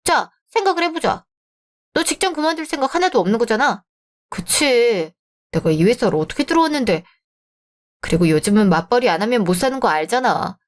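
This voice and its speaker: A blunt, sensible young office friend who lays out hard truths plainly, as a woman voices her. The voice is fairly high. It is a storytelling radio drama. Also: very animated, fairly quick. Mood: frank. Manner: blunt, advising.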